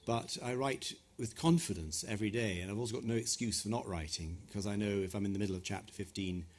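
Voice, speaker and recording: A man's voice: fairly low pitch, fast talker. A middle-aged man from West Africa, an author answering audience questions at a live talk in a thoughtful, hesitant way with many restarts. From West Africa (Ghana, Nigeria). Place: event venue before an audience.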